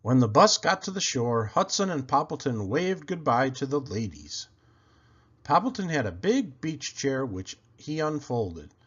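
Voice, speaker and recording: Animated man reading a storybook, unhurried. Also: medium pitch, slightly rough, dull audio. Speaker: storyteller reading aloud to children. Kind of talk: expressive picture book narration.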